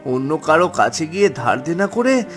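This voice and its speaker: A man's voice: a little high, sing-song, moderate pace, soft background music. A man voicing a poor, honest village fisherman in a cartoon, pleading in an earnest, plaintive way. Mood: pleading, despairing. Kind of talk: cartoon story acting.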